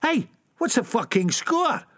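A man's voice: very high voice, very animated, a little slow, fairly bright tone, no echo, studio quality, voice pushed up, full character voice. A man with a Scottish accent voicing a belligerent young male street tough who taunts and picks a fight. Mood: taunting.

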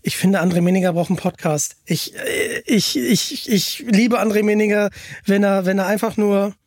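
A man hosting a podcast, chatting casually and enthusiastically, often halting and repeating words as he asks questions. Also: sits high, steady tone, no echo, studio quality. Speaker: podcast host. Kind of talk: casual chat, interviewing.

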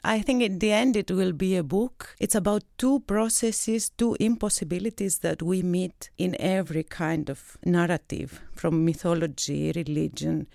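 A woman's voice: on the low side, normal speed, vocal fry, few pauses, a little mellow, no echo. A woman from Greece in her thirties, a research associate and PhD candidate, giving thoughtful interview answers in a studio and searching for her words now and then. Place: recording studio.

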